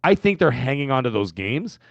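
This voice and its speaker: A Canadian man co-hosting a casual gaming podcast, rambling and animated, with frequent restarts as he tells his story. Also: medium pitch, brisk, gravelly, dry recording, lo-fi sound. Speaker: podcast co-host.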